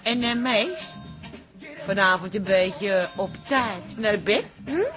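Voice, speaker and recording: A woman's voice: medium pitch, slow pace, over music, lightly acted. An actress from the Netherlands playing a caring, easily alarmed woman of a cafe in a radio play, fussing and exclaiming, with a Dutch accent. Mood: relieved.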